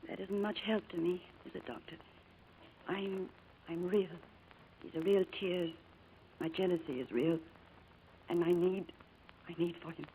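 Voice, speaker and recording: An actress playing a devoted, yearning wife in a 1940s radio drama, speaking with earnest, restrained wistfulness. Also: female voice, fairly low pitch, steady tone, unhurried, long pauses, narrow, tinny audio, a little hiss or hum, natural voice. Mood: anguished. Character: devoted, yearning wife secretly working as secretary. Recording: old radio broadcast with music.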